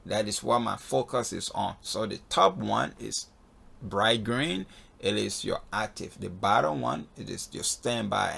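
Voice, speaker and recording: Male instructor, heavily creaky and husky, casual step-by-step tutorial delivery. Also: lowish voice, a bit bright. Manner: step-by-step, repeatedly checking in with "okay". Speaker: flight instructor in a tutorial video. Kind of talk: casual spoken software walkthrough. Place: voice-over on a screen recording.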